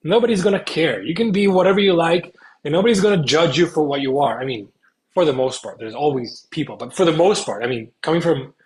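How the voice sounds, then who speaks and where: An earnest young man from Spain talks as an interview guest. His voice sits a little high for a man. He explains at length in a casual way and often stops to hesitate. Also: animated, quick pace. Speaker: guest, content creator.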